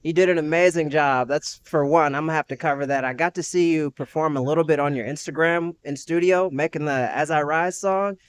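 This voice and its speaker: A man's voice: fairly high pitch, steady tone, a little fast, few pauses, smooth, no echo. Enthusiastic young man hosting an interview. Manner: enthusiastic, affirming with frequent "heck yeah". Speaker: podcast host. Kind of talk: casual interview.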